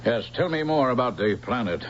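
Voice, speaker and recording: An actor playing an imperious, impatient emperor in a vintage radio drama, haughty in every phrase. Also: male voice, medium pitch, expressive, fairly quick, natural voice. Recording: muffled, hissy old radio recording.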